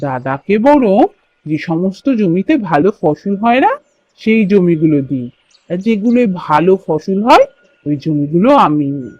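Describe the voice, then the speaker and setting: A man's voice: high-pitched, very animated, a little slow, dry recording, dull audio, full character voice. A man voicing a cunning, greedy younger brother bird in a children's cartoon, scheming and self-assured.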